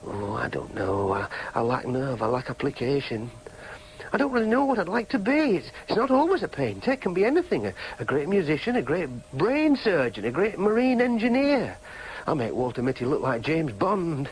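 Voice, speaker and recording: A man's voice: fairly high pitch, very animated, normal speed, rough recording. An actor playing a discontented, dithering young Yorkshire man in a radio drama, hesitant and self-doubting. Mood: gloomy, wistful. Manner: hesitant, self-doubting, exclamatory when roused.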